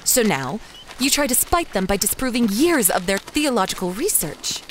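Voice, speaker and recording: A woman acting as a sharp-witted archaeologist in an audio drama, with a dry, blunt, incisive way of talking. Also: mid-range voice, very animated, normal speed, slight background noise, lightly acted, poor audio. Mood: blunt, exasperated.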